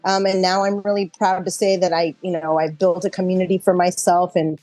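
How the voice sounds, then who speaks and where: A woman's voice: fairly low pitch, flat delivery, a little fast, mellow, no echo, soft background music. A middle-aged woman actor chatting casually in an interview, speaking in a reflective, conversational way.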